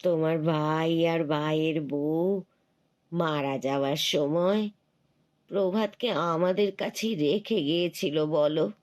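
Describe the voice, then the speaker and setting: A woman voicing a tender, grieving village mother in a cartoon dub, speaking slowly and pleadingly. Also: fairly low pitch, a little mellow. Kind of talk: cartoon dubbing.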